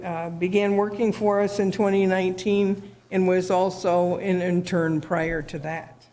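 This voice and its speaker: A woman who is board president introducing members and staff at a public meeting, with a halting delivery and frequent fillers. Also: mid-range voice, warm tone. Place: township meeting room.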